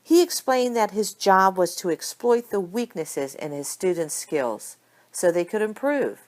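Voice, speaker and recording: A woman reading a story aloud as an amateur audiobook narrator, with expressive delivery. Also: medium pitch, unhurried. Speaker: audiobook reader of fan fiction.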